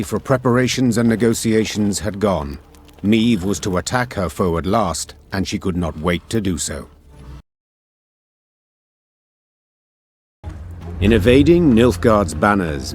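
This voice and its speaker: A man playing a storyteller, narrating a grave war saga for a fantasy game in a measured, solemn manner. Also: lowish voice, natural voice. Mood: grave, ominous. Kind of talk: scripted dramatic storybook narration.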